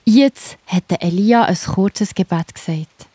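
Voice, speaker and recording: Husky Swiss woman storyteller. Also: medium pitch, a little slow, warm tone, no room sound. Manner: explains simply, addressing young listeners directly. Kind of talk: narration of a children's Bible audio story.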